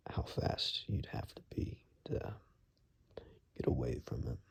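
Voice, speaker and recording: A man playing a weary, rugged monster hunter in an ASMR roleplay, grave and halting, in a gravelly, breathy near-whisper. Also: lightly acted. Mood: grave, warning.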